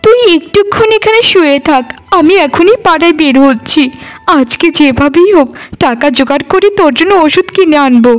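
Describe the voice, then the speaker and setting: A woman's voice: high voice, lively intonation, brisk. A woman voicing a worried poor village mother in a cartoon, soothing and imploring. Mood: anxious, soothing. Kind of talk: Bengali cartoon dialogue.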